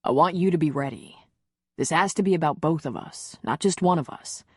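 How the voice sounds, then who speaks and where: A woman voicing a big, gruff, tender man seducing his lover in a low, reassuring murmur for an audiobook. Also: fairly quick, no echo, studio quality.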